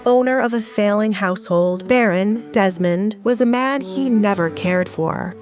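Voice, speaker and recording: A woman's voice: medium pitch, measured pace, darkish tone, dull audio, soft background music. Woman narrating a romance audio drama. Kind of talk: scripted story narration.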